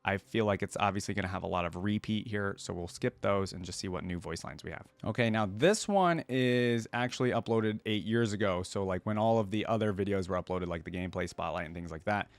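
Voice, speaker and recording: A man's voice: lowish voice, fairly quick, few pauses, dry recording. A man giving casual, musing commentary as a reaction video host. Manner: informal, musing, conversational.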